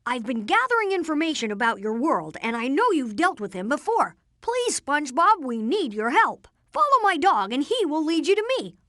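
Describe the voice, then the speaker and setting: Video game boy genius: earnest take-charge inventor, high and very lively, explains things precisely. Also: normal speed, hardly any pauses, no echo, clean studio sound, exaggerated voice. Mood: earnest, reassuring. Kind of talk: animated video game voice acting.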